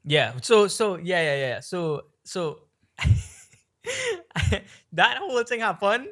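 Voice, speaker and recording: A man from Trinidad with a Trinidadian-tinged American accent, co-hosting a podcast and telling a long story in a rambling, animated way with lots of fillers. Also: high-pitched, slow pace. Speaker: podcast co-host. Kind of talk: casual storytelling chat.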